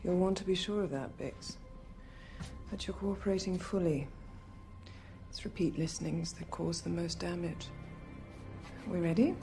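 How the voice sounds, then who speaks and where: An actor playing a calm, detached male torturer doctor in a drama clip, heard distantly under music. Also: sits high, normal speed, gravelly. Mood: coldly clinical.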